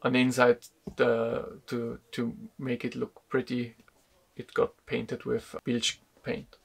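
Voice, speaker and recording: A man in his thirties, a sailing vlogger, explaining a repair to camera in a casual, halting way with many fillers, speaking in a wooden boat cabin. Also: mid-range voice, monotone, creaky, slightly rough. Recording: music playing behind.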